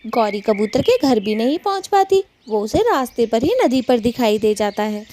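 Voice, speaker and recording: A woman's voice: on the high side, sing-song, moderate pace, dark tone. A woman narrating a children's cartoon story as the storyteller between the characters' dialogue.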